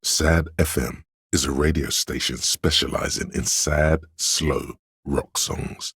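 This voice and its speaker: A man's voice: fairly even intonation, takes it slowly, fairly bright tone, dry recording, clean studio sound, full character voice. A man voicing a pedantic, know-it-all encyclopedic inner voice for a game, with erudite, dryly wry explanatory narration. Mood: dry, informative. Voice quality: very deep, gravelly, husky.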